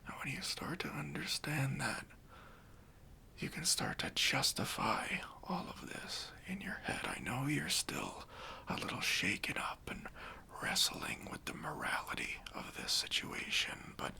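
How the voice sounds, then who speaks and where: A man playing a kindly, reassuring bank robber in a soft, breathy ASMR roleplay, speaking gently and casually to an accomplice. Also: mid-range voice, very animated, unhurried, exaggerated voice. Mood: earnest, conspiratorial. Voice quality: breathy, near-whispered.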